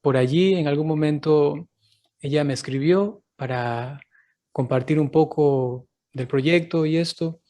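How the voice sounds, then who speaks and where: A man who is a priest hosts this interview over a video call, speaking in a fairly high voice. He greets his audience and laughs often along with his guest. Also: measured pace, dark tone. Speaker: priest and channel host. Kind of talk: interview host.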